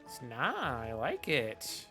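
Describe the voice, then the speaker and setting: A man's voice: medium pitch, wildly swooping pitch, slow pace. A man in his twenties giving casual, slangy live game commentary in a reactive, jokey manner. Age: 20s. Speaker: game streamer. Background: faint game music.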